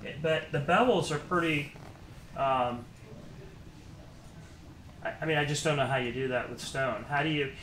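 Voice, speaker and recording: A middle-aged man chatting casually as an interviewer, haltingly rephrasing his questions as he goes. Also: a little high, a bit bright. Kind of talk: casual chat. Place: small indoor listening room, seated. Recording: roomy echo, clear background noise.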